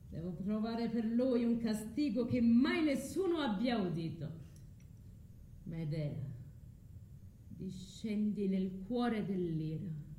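A woman's voice: medium pitch, mellow, slight background noise, lightly acted. An actress playing a scorned, vengeful sorceress mother, proud and anguished, declaiming in a theatrical radio drama. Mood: anguished, wrathful. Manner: declamatory, swinging from brooding murmur to impassioned cries. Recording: roomy echo with music behind.

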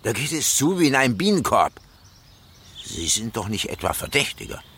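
Radio-drama role: fussy, chatty postman, forceful and lively, self-important, outdoor noise behind. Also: mid-range voice, moderate pace, exaggerated voice. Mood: teasing, officious. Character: chatty, officious, teasing male postman. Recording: clear background noise, outdoor ambience.

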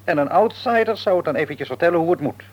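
Frank older father, husky, expressive. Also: a little high, fast talker, dull audio. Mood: reasoning. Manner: reasoning, hesitant at times, frank. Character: measured, frank older father gently reproving his grown son. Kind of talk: radio drama acting.

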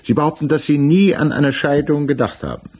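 A man acting a composed, persistent examining magistrate in a 1960s German radio crime drama, questioning in a measured, official way. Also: fairly high pitch, darkish tone, slightly rough. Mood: insistent. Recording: 1960s mono radio production.

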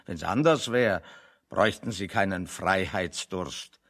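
An actor playing a dry, opinionated man in a German radio play, reasoning with deadpan, sardonic deliberation. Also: low-pitched, measured pace.

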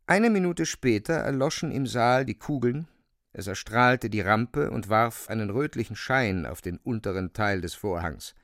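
A man narrating a literary radio drama with measured, dramatic storytelling. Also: lowish voice, lively intonation, a bit bright, dry recording, clean studio sound.

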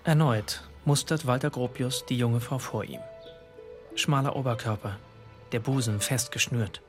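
An actor playing the observant, measured narrator of a literary radio drama. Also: male voice, mid-range voice, soft background music, natural voice.

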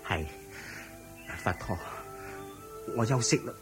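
An actor playing an earnest, anxious young monk with a husky voice and fretful manner, in Hong Kong Cantonese radio drama style. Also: male voice, on the low side, expressive, slow pace, loud music behind the voice. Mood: humbly accepting.

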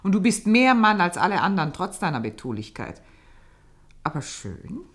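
An actress playing a shrewd, jealous member of a theatre troupe in a radio drama, coaxing and teasing. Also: female voice, fairly low pitch, very animated, normal speed. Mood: suspicious, knowing. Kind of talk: German radio drama acting.

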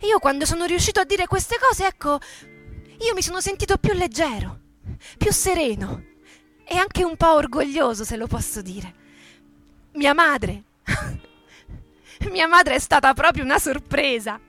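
A woman playing an earnest ageing male metalworker who recalls his militant youth in a halting, conversational, emphatic way, as a radio theatre monologue. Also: high voice, lively intonation, fairly bright tone, faint music behind. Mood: tender.

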